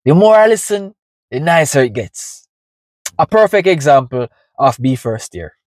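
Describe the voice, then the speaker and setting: A man's voice: fairly high pitch, sing-song, moderate pace, no echo. An enthusiastic young man with a Jamaican accent, giving casual, slangy, effusive reaction commentary as the host of a music reaction video. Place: inside a parked car.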